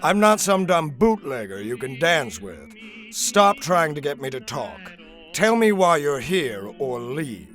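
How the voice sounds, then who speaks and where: A man's voice: on the high side, sing-song, unhurried, fairly bright tone, faint music behind. An actor playing a hostile, disgraced former councilman in a noir audio drama, curt and threatening. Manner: curt, menacing.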